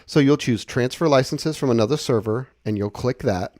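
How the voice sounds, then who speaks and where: A man giving a casual, conversational software walkthrough, explaining things step by step. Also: mid-range voice, moderate pace, no echo, studio quality. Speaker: software tutorial presenter.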